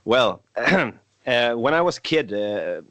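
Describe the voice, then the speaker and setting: A man's voice: medium pitch, sing-song, takes it slowly, a bit bright. A Swedish man in his twenties, a native Swedish speaker, hosting a casual podcast chat in a hesitant way with many filler sounds. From Sweden. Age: 20s. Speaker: podcast host.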